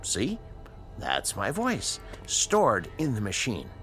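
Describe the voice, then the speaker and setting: An actor playing an educated, earnest scientist in a radio drama, American, measured and questioning. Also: male voice, lowish voice, huge pitch swings, fairly bright tone, faint music behind, heavily acted. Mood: nervous, accommodating. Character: earnest scientist, educated, patient, morally probing male doctor.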